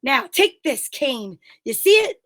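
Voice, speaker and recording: A woman's voice: high voice, very animated, a little slow. A woman playing a bossy, cajoling male straight man in an old-time radio comedy sketch. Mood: bossy, goading. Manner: commanding, cajoling patter. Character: bossy, wheedling straight man Abbott, adult male comic.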